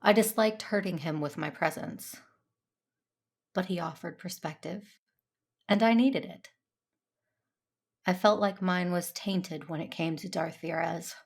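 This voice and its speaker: Jedi heroine female actor: lowish voice, earnest, conflicted first-person audio drama narration. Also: measured pace, gravelly, long pauses, slightly rough, heavily acted. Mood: reflective. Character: troubled, dutiful Jedi woman, earnest and conflicted.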